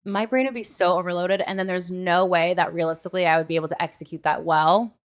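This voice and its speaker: A woman's voice: lowish voice, steady tone, fast talker. A woman hosting a podcast in casual, emphatic conversation, leaning often on "like" and "literally.". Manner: emphatic, filler-heavy with frequent "like" and "literally". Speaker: podcast host.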